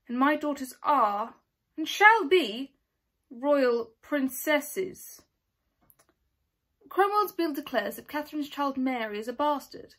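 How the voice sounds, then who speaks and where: Young woman acting vengeful queen, high voice, haughty, commanding, stage monologue, deliberate pauses. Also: a bit bright, exaggerated voice. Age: teenager or young adult. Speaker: student performer. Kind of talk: dramatic monologue reading. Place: small plain indoor room.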